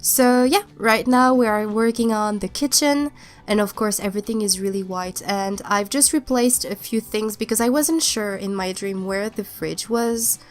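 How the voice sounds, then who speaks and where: A woman's voice: mid-range voice, normal speed, soft background music. Stuffy-nosed young woman rambling. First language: not English. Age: young adult. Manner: rambling, hesitant, many restarts. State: stuffed up with a cold. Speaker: YouTuber narrating a speed build. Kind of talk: casual voiceover chat.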